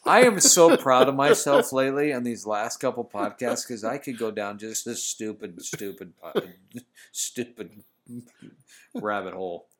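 A man's voice: mid-range voice, lively intonation, unhurried. A man hosting a podcast, joking and rambling with friends while tasting bourbon, laughing often. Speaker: podcast host. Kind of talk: casual chat over bourbon tasting.

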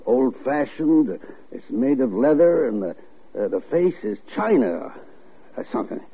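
An actor playing a shifty, menacing neighbour with a halting, evasive manner in a 1950s radio drama. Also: male voice, medium pitch, very animated, creaky, husky, phone-quality sound, exaggerated voice. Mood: nervous, evasive. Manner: hesitant, stammering, evasive. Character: shifty, menacing neighbour man hiding a guilty secret. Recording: thin, noisy old-time radio recording.

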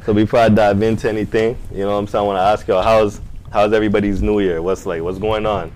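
A man hosts a relaxed podcast conversation in easygoing street slang. He keeps the talk moving with a steady stream of questions. A faint hum of room noise sits behind him. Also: fairly low pitch, fast talker, low-quality recording. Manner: laid-back slang, asks lots of questions. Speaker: podcast host. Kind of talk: casual chat.

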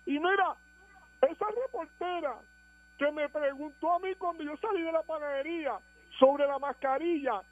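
A Puerto Rican man calling into a radio show, telling a joking story with lively delivery. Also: very high-pitched, moderate pace. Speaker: caller. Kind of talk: call-in radio chat. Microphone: phone. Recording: dull phone-line call with music.

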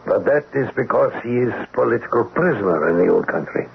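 An actor playing a shrewd, protective older immigrant shopkeeper uncle in a radio drama, polite and measured, with gravelly tone and non-native grammar. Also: male voice, medium pitch, animated. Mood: courteous, guarded. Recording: tinny band-limited radio, clear noise.

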